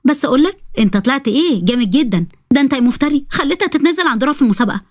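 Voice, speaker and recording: A woman voicing a male jinn spirit with a thick, low voice and an Egyptian accent, in a storybook tale. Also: lively intonation, rapid-fire, a little mellow, no room sound, studio quality. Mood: teasing. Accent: Egyptian Arabic.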